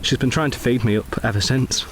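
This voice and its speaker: A man playing a kindly, wry Edwardian gentleman veteran. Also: mid-range voice, a little fast, background noise, lightly acted. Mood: guarded, wry. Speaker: actor in a radio play. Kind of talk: scripted radio drama dialogue.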